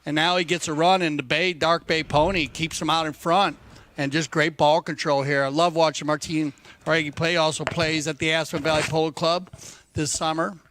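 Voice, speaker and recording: Sports commentator, man, upbeat and chatty, slightly higher voice. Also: flat delivery, a little fast, a bit bright, a little hiss or hum. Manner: enthusiastic, chatty. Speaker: polo commentator.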